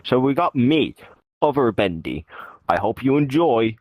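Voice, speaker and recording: Man with wildly swinging, animated delivery. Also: medium pitch, measured pace. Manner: sweary, teasing. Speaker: participant and host of a group VR puppet show. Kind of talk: casual banter among friends while gaming.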